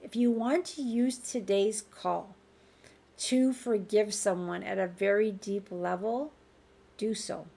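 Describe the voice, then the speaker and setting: A woman with a raspy voice hosting a live group healing call. She speaks in a gentle, reassuring and informal way. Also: medium pitch. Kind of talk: live-streamed conversational healing talk. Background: faint background music.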